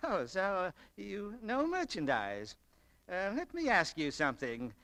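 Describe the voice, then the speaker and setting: Blustery high-pitched older shop boss. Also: male voice, wildly swooping pitch, takes it slowly, fairly bright tone, full character voice. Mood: flustered. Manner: blustery, stammering. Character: excitable, stubborn older shop owner and boss. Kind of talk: 1940s radio acting. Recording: noisy old 1940s radio recording.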